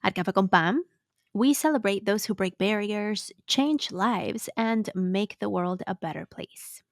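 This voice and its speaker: A woman's voice: medium pitch, a little slow, no room sound. Woman podcast host, very dry close sound. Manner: mixes some Spanish into English. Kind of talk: podcast introduction and interview.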